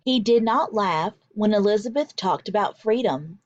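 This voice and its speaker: A woman teacher reading aloud to children in an expressive, deliberate, emphatic way. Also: mid-range voice, no room sound, dull audio. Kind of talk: expressive children's read-aloud with vocabulary explanations.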